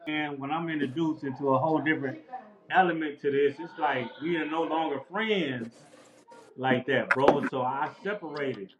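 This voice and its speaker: A man from Los Angeles telling his life story in a relaxed, casual way, full of street slang and repeated "bro". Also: a little high, moderate pace, reverberant, dull audio, background noise. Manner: laid-back, repetitive street slang with frequent "bro". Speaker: interview guest. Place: outdoors near a house.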